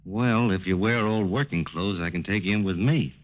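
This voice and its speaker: An actor playing an agreeable, puzzled plant safety engineer in a 1940s radio drama, folksy, plain-spoken and a little hesitant. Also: male voice, low voice, normal speed, lightly acted. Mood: agreeable, surprised. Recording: tinny narrow-band old radio recording.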